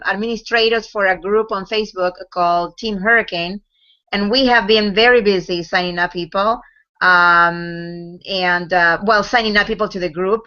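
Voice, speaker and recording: A woman's voice: mid-range voice, pushed and bright. A woman speaking as a guest on a video call, hesitant, with many fillers and restarts. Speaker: guest, group administrator. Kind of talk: casual chat on a video call. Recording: dull lo-fi video call audio.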